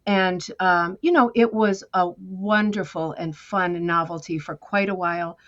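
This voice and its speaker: A woman's voice: fairly low pitch, unhurried. Chatty American woman, slightly dull audio. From United States. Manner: lively, chatty storytelling with self-deprecating humor. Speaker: guest artist. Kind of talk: conversational interview.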